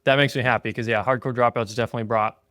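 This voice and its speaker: Thirties man, laid-back podcast host, middle pitch, dry close sound. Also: steady tone, rapid-fire, a bit bright, dry recording. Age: 30s. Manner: easygoing, earnest, with frequent "um" and "yeah". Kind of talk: casual podcast chat. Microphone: remote call.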